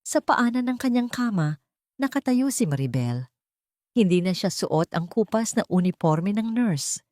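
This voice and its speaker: A woman's voice: mid-range voice, lively intonation, measured pace, warm tone, no echo, studio quality. A woman narrating a dramatic scripted story in a solemn, emotive way.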